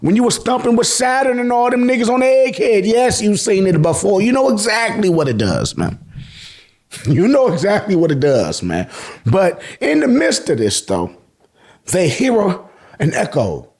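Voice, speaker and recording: A man's voice: high-pitched, sing-song, moderate pace, a little mellow. An American man hosting a casual, slangy recap, telling the story colloquially and acting out reactions. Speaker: YouTube host recapping manga.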